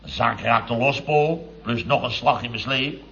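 An actor playing a blustering, aggrieved building contractor boss, telling of his mishaps with indignant, drawn-out emphasis in 1970s radio comedy style. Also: mid-range voice, creaky, fairly bright tone. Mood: exasperated. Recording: dull old radio recording.

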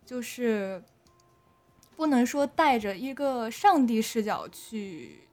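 A woman's voice: fairly high pitch, lively intonation, slow, darkish tone. A woman speaking as an audio drama director in a casual, unscripted interview, hesitant and often repeating words as she finds her phrasing.

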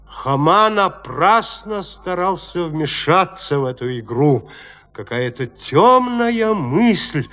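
A man narrating a classic horror tale in an audio play, with theatrical, expressive delivery. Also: fairly high pitch.

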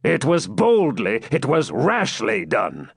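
A man voicing a stern, proud old Saxon lord and father in a forceful, declamatory storybook manner with archaic speech. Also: mid-range voice, wildly swooping pitch, slow, creaky, breathy edge, no room sound, clean studio sound, full character voice. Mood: bitter.

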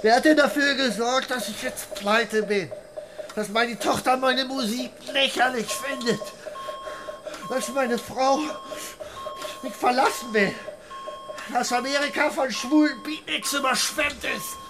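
An actor playing a bitter, ruined middle-aged bandleader who rants with self-pity in a radio play. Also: male voice, very high voice, measured pace, background noise. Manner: ranting, self-pitying. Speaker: washed-up western swing bandleader.

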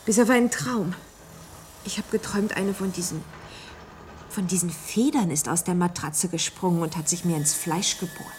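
A woman's voice: lowish voice, normal speed, a little mellow, slight background noise, lightly acted. A woman playing an uneasy, intimate travel companion in a German radio play. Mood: drowsy, casual.

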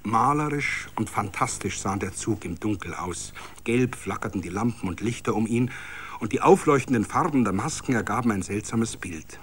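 A man reading a literary story as a narrator, in a vivid, dramatic, expressive way. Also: lowish voice, moderate pace, a little hiss or hum. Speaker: narrator, reader.

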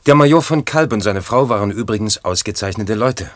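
A man playing a sober commentator in a literary radio play, narrating in a measured, matter-of-fact way. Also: fairly low pitch, lively intonation, no echo, close to an everyday voice. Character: sober male commentator recounting a poet's life.

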